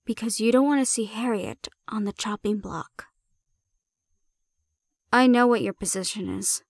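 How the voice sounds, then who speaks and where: A woman's voice: medium pitch, a little slow, no room sound. Girl voicing a cocky handler woman, blunt n teasing, not scared of anyone, audio rp, dry close sound. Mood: firm, challenging. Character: bold, cocky non-human female handler, sardonic and unafraid. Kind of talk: audio roleplay acting.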